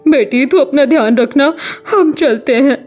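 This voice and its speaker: A woman's voice: sits high, animated, normal speed, dark tone, soft background music. A woman voicing a traditional middle-aged mother in a family drama story, worried, soothing and accepting. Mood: consoling.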